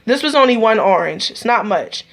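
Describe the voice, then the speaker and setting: A woman's voice: a little high, expressive, normal speed, a bit bright, rough recording. A woman, chatting casually as she demonstrates juicing in her home kitchen, rambling with many ums and restarts. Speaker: vlogger.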